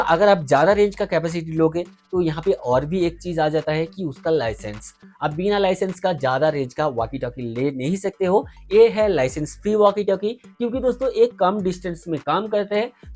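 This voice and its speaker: A man's voice: high voice, a little mellow. A man hosting an informal product review, explaining things directly to viewers. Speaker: video host.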